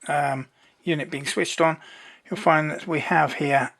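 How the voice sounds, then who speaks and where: A presenter giving an informal hands-on technical demonstration in an unhurried, explanatory way. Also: medium pitch, steady tone, vocal fry, fairly bright tone.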